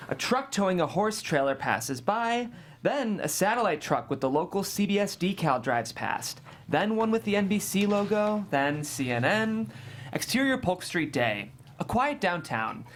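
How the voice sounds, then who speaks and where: A narrator reading screenplay stage directions in a matter-of-fact, descriptive way. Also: mid-range voice.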